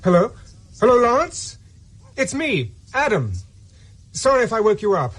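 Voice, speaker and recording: A man's voice: very high-pitched, sing-song, moderate pace, fairly bright tone, heavily acted, low-quality recording. An actor playing a distraught, hunted young Englishman in a radio drama, urgent and stammering. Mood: panicked, pleading. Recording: faint noise and ambience.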